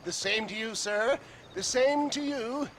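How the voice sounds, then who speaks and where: Game role: grandiose Western snake-oil gentleman, high-pitched, florid, genial, faint noise behind. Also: unhurried. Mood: self-important. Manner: pompous, florid, chuckling. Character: pompous, genial travelling snake-oil man of science. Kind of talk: video game cutscene acting.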